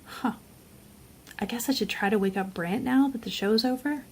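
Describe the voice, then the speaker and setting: A woman's voice: a little high, moderate pace, a little hiss or hum. A woman reading scripted credits and sign-off as the announcer of an audio drama show.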